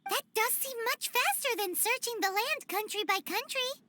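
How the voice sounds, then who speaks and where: A woman voicing a tiny, childlike fairy companion in a video game, chatty, blunt and cheeky, with a squeaky voice. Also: lively intonation, normal speed, sharp tone, a little hiss or hum, heavily acted. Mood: skeptical. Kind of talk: scripted video game voice acting. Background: game music.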